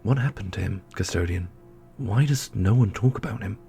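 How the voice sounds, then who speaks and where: A man's voice: on the low side, moderate pace, vocal fry, mellow, voice pushed down. A man playing a blunt, skeptical, plain-spoken adventurer in a fantasy audio roleplay, with an incredulous, demanding manner. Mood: probing. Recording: music under the voice.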